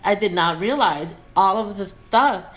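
A woman's voice: mid-range voice, expressive, a little slow, a bit bright, soft background music. Reflective woman sharing travel memories. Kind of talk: casual chat.

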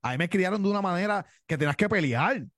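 A man's voice: high voice, expressive, fast talker, a bit bright, no room sound, studio quality. A man with a Cuban accent, a wrestler telling his story in an interview, boastful and tough, often repeating himself. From Cuba. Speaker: pro wrestler guest.

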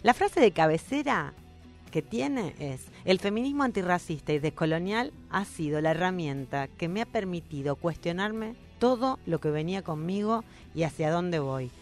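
Low-voiced woman hosting radio. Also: lively intonation, moderate pace, faint music behind. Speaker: radio host. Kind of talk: casual radio chat. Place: radio studio.

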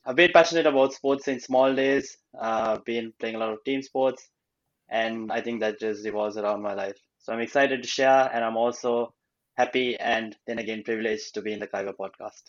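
A man's voice: mid-range voice, steady tone, moderate pace. Polite young man from Sri Lanka, muffled sound. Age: young adult. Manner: polite, hesitant with many ums. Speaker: alumnus guest. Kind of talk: casual conversation. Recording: dull lo-fi sound.

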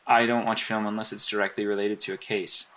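An actor playing an earnest, hesitant male superhero in an audio drama, speaking in halting, stammering phrases. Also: lowish voice, level tone, a bit bright, lightly acted. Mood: guarded, sincere.

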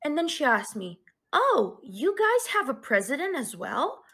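A woman's voice: sits high, big pitch swings, unhurried, bright, forceful tone. A woman from Romania who has lived in England, telling a personal story in a chatty way with fillers. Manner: chatty, uses fillers like "like" and "blah". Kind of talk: casual storytelling clip.

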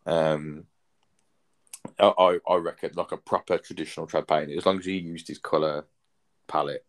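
A man's voice: a bass voice, level tone, normal speed. A man, hosting a podcast in casual chat, rambling with lots of fillers and casual swearing. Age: 30s. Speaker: podcast host.

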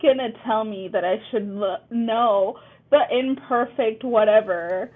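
Guest caller: woman, native Spanish speaker, husky and fairly high, heard over a tinny phone line, hesitant filler-laden delivery. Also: unhurried. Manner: hesitant, filler-heavy storytelling. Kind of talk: casual chat.

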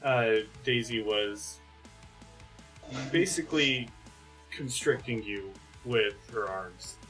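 A man running a tabletop game as game master, narrating casually in a halting, conversational way. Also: on the low side, pushed and bright, soft background music, rough recording.

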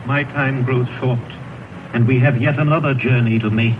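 A man's voice: mid-range voice, fairly even intonation, normal speed, phone-quality sound, noisy background, lightly acted. A man playing a stern, solemn spirit guide in an old-time radio drama, declaiming and asking probing questions. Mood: grave, stern. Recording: old radio broadcast, thin band-limited, music behind.

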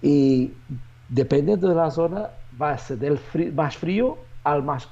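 A man, a native Portuguese speaker with a Portuguese accent, answering interview questions as a real estate agent in a halting way, with many restarts and fillers. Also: fairly high pitch, gravelly, mellow, dull audio.

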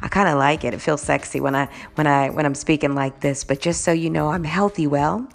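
Solo podcast host: woman with a slightly groggy voice, low and gravelly, casual talk. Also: fairly even intonation, a little fast. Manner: warm, conversational, says "right?" and "y'all" a lot.

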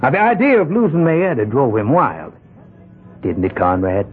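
A man's voice: wildly swooping pitch, normal speed, breathy edge, faint music behind. An actor playing a belligerent man pushing for a hanging in a 1970s radio drama, blustering and indignant. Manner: blustering and indignant, voice jumps high. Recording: tinny lo-fi 1970s radio broadcast.

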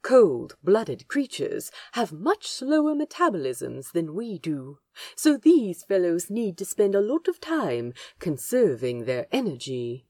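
A woman playing a gentle, smitten, politely teasing man in audio fiction, speaking with precise, soft phrasing. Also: lowish voice, very animated, slow, no room sound, heavily acted. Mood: pleased, explaining. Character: male Aziraphale, gentle, delighted, teasing, smitten know-it-all.

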